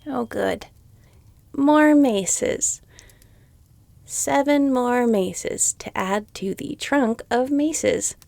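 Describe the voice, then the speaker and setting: A woman acts out a weary female shopkeeper from a fantasy audio drama. Her voice sits a little high and crackles with vocal fry. She is reluctantly polite, with a dry, exasperated edge and a habit of sardonic muttering. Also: very slow, a little mellow, dry recording, heavily acted. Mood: flustered, incredulous. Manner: dry, sardonic, muttering asides under the breath. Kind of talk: scripted fantasy audio roleplay.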